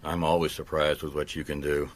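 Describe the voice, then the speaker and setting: An actor playing a shrewd, skeptical private detective in a radio mystery, with a blunt, wry, questioning manner. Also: male voice, extremely low pitch, little pitch movement, a little fast. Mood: casual, dry. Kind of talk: radio mystery drama acting.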